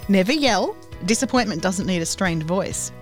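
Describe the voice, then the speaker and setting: A woman's voice: medium pitch, expressive, normal speed, over music. A woman co-hosting a podcast, playfully teasing her co-host while explaining with mock authority. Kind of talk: scripted podcast chat with banter. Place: recording studio.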